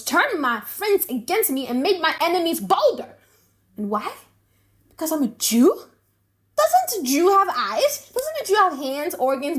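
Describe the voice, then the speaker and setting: A woman voicing a bitter, vengeful older male moneylender in a dramatic audiobook play, indignant and emphatic. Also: high-pitched. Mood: resentful.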